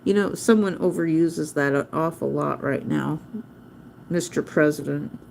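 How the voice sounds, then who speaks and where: A woman's voice: deep voice, unhurried, vocal fry, darkish tone, a little hiss or hum. A woman hosting a livestream, chatting casually and rambling off topic, often spelling words out letter by letter. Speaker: livestream host teaching drawing.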